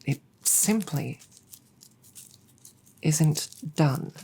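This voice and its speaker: A woman playing a proud but bashful dragon girlfriend in an intimate audio roleplay, with formal wording, a breathy voice and halting, sighing delivery. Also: deep voice, lively intonation, heavily acted. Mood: embarrassed. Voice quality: husky, breathy. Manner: formal wording, hesitant, halting, soft sighs.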